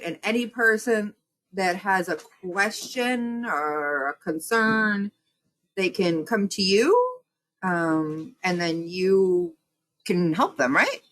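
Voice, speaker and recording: Slow, friendly woman interviewer. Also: medium pitch, a bit bright. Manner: friendly, checks facts with tag questions. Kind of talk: community radio interview.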